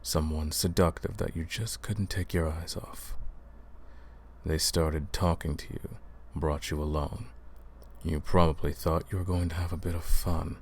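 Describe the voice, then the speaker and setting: A man playing a centuries-old vampire hunter in a roleplay audio drama, stern and commanding, speaking deliberately and questioning the listener. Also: extremely low pitch, fairly even intonation, slightly rough, full character voice. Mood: probing, dry.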